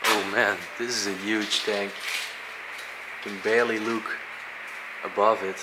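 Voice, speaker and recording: A young man casually exploring a dark abandoned aquarium, curious and describing what he finds, with short laughs. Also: fairly low pitch, level tone, a little slow, very noisy. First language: Dutch. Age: young adult. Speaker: urban explorer.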